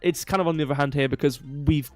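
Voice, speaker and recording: A man's voice: a little high, fairly even intonation, fast talker, darkish tone, dry recording. A male livestream host speaks casually into a close, dry microphone with faint music behind him. His voice is a little stuffy and nasal. He rambles through market commentary, drifting into self-deprecating asides. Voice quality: slightly nasal, stuffy nose.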